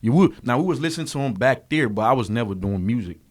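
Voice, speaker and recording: A man in his thirties from Liberia, a rapper guest in a casual interview, talking in a laid-back, halting way and repeating words. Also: medium pitch, lively intonation, a little mellow.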